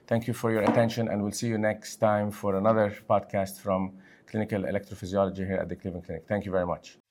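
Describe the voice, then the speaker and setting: A man from Lebanon with a fairly low voice hosts a medical podcast. He is a physician speaking conversationally, in halting bursts with emphasis. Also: little pitch movement, darkish tone. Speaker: podcast host, physician and section head.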